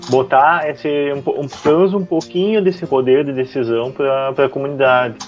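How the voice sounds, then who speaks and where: A man's voice: medium pitch, steady tone, normal speed. A man co-hosting a casual podcast chat, chiming in with brief, easygoing remarks. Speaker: podcast co-host. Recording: dull lo-fi audio, faint music.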